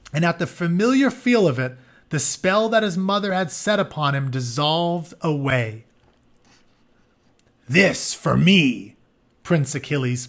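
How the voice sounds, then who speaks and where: Higher-pitched man reading a story aloud. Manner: informal, explanatory. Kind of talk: expressive read-aloud with casual explanatory asides.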